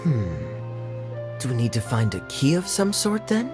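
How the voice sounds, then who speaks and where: A man's voice: medium pitch, very animated, moderate pace, close to an everyday voice. Man playing a suave, sly young knight. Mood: mildly puzzled. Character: suave, teasing young male knight, laid-back and sly. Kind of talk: video game cutscene voice acting. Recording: music behind the voice.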